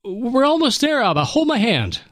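An earnest young son with a worried, pleading manner, as a male narrator puts him on. The voice sits far above the reader's own, bright and urgent. It belongs to a dramatized radio storybook. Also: very high-pitched, big pitch swings, moderate pace, no echo, clean studio sound, voice pushed up, heavily acted. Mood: anxious. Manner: eager, concerned pleading.

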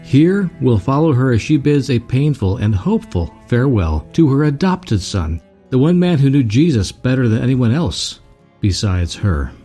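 A man's voice: medium pitch, very animated, normal speed, warm tone, husky. A man hosting a radio program, reading a scripted introduction. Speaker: host. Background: music under the voice.

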